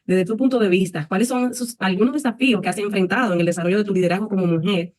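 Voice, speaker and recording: Brisk panel moderator, courteous, recorded dry and close. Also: medium pitch, darkish tone, no room sound. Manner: courteous, formal questions.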